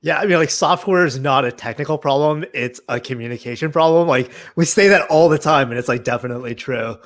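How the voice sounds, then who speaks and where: A man's voice: on the high side, brisk, a bit bright. A man hosting a programming meetup livestream over a video call, informal and friendly with lots of "uh" and "like". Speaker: host of a Python meetup livestream. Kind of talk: casual livestream interview hosting.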